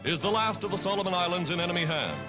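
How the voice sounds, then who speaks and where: A man's voice: high-pitched, lively intonation, fast talker. An American man narrating a wartime 1940s newsreel from a script, in a dramatic, emphatic, declamatory manner. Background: loud music.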